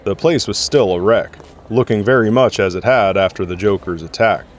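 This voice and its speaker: Low-pitched male story narrator. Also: moderate pace.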